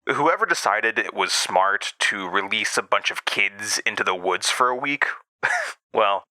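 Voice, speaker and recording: A grown man looking back on an uneasy boyhood, in an audio horror drama. His voice is low but very bright and sharp, recorded close and dry. He tells it conversationally, with a wry edge. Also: lively intonation, a little slow, dry recording, full character voice, poor audio. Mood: wry, foreboding. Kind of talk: scripted first-person horror drama narration.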